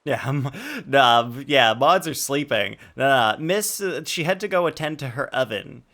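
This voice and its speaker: A man's voice: medium pitch, lively intonation, a little slow, pushed and bright. A man livestreaming a video game, chatting casually and rambling as he thinks aloud. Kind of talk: casual live gaming chat and commentary.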